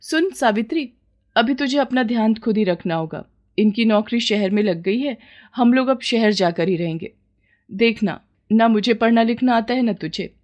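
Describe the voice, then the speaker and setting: A woman's voice: fairly high pitch, animated, moderate pace, a little mellow, highs cut off, lightly acted. A woman voicing a childless, yearning village woman who pleads and justifies herself in a dramatized moral story. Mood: affectionate. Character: childless village woman, yearning, scheming, defensive new mother.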